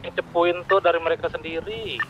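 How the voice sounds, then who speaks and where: A man's voice: a little high, steady tone, normal speed, lo-fi sound, a little hiss or hum. A man with a colloquial Jakarta Indonesian accent chatting casually in an online roleplay game. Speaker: gamer role-playing a gang member.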